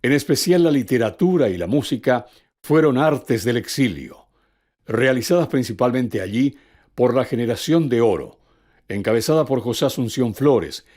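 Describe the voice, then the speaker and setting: A man's voice: mid-range voice, animated, moderate pace, a little mellow. A man delivering scripted narration as the narrator of a documentary.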